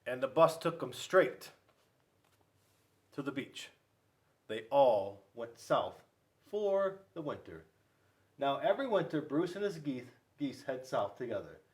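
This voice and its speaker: A man reads a picture book aloud to children. His delivery is slow, with a mid-range voice that moves up and down in pitch, and he leans on dramatic pauses. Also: big pitch swings, darkish tone, recorded from a distance. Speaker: school resource police officer reading to children. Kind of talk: storybook read-aloud.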